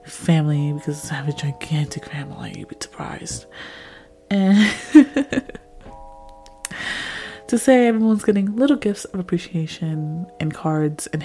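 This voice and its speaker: A young woman with a raspy, slightly hoarse voice from a cold, chatting casually to her listeners as a podcast host, rambling with lots of fillers. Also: low-pitched, dark tone.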